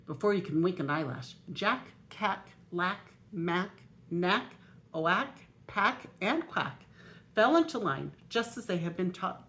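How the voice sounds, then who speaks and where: An older woman, a grandmother, reading a children's picture book aloud in an expressive way. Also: mid-range voice. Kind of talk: storybook read-aloud.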